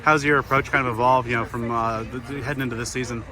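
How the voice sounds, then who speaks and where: A man's voice: medium pitch, steady tone, fast talker. A man, a sports reporter, asking long, rambling questions with many fillers at an outdoor interview. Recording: outdoor scrum with crowd chatter.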